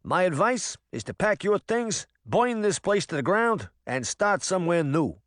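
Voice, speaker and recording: A man's voice: high-pitched, big pitch swings, a little slow, fairly bright tone, dry recording, clean studio sound, voice pushed up, full character voice. A man voicing a nervous, paranoid male cat who is an army veteran, with a New Jersey accent. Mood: panicky. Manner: nervous, dramatic, doom-laden.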